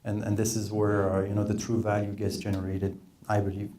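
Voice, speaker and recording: A man's voice: on the low side, completely flat delivery, fairly quick, dark tone, slight background noise. A man in his thirties with a French accent, giving a workshop presentation with many hesitant "uh"s. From France. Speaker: presenter, PhD student. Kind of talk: conference presentation.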